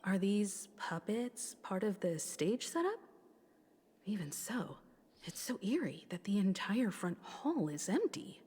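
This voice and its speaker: An actress playing a composed, observant young woman adventurer in a video game, measured and serious. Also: medium pitch, sing-song, warm tone, breathy edge, full character voice. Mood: wary, composed. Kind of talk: scripted video game voice acting. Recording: reverberant hall.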